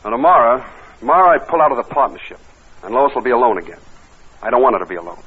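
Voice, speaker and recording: A man's voice: mid-range voice, lively intonation, rapid-fire, breathy edge. An actor playing a tough, world-weary man narrating his own crime story in clipped, slangy 1940s radio noir style. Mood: cold, firm. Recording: tinny old radio recording with organ music.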